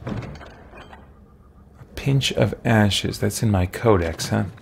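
A man in his thirties giving casual livestream commentary as he plays a video game, chatting and thinking out loud in a rambling way. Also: on the low side, slow pace, slight background noise. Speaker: live streamer, game player. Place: small indoor room with shelves. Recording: clear game sound behind the voice. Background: video game ambience and effects.

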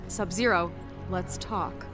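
Brash young soldier leader. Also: female voice, lowish voice, fairly even intonation, slow, over music. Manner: casual, sassy. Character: brash, wisecracking young female military team leader. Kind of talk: video game cutscene acting.

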